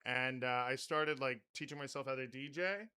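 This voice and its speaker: A man hosting a podcast in casual chat, with a very loud voice and a rambling, swear-laden way of talking. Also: mid-range voice, normal speed, smooth. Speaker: podcast host.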